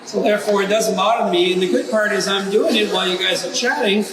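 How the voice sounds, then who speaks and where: A man's voice: high voice, fast talker. A man hosting a live cooking event, chatting in a joking, playful way. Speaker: cooking show host, chef. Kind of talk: casual live chat. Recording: echoey room, far from mic, loud background noise.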